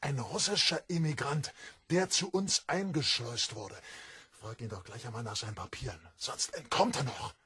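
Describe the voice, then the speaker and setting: An actor playing a suspicious, blunt, hot-headed poet in a German radio drama, speaking with urgency. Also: male voice, medium pitch, moderate pace, sharp tone, slightly rough, no room sound. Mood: conspiratorial.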